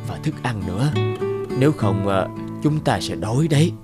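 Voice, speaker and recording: Man as hard-up mother, gentle, coaxing, cartoon dub, expressive pitch, background music. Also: normal speed, natural voice. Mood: gentle pleading. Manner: hesitant, patient, coaxing. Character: gentle, hard-up mother reasoning with her small son.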